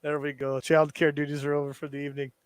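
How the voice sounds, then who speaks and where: A man's voice: fairly high pitch, completely flat delivery, fast, clean tone, no room sound. A man co-hosting a live stream in casual chat, laid-back and joking, laughing often. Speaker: stream co-host.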